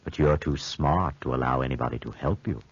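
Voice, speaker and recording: An actor playing a grave, watchful double who acts as a conscience, speaking with solemn reproach in a 1940s radio drama. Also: male voice, a bass voice, brisk, breathy edge, no echo. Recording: muffled, band-limited 1940s radio recording.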